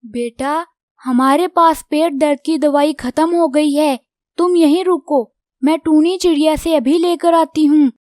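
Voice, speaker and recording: A woman's voice: high-pitched, fairly even intonation, measured pace, a little mellow, dry recording, clean studio sound. A woman voicing a concerned mother crow in a children's cartoon, motherly and questioning. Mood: worried. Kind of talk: Hindi children's cartoon dubbing.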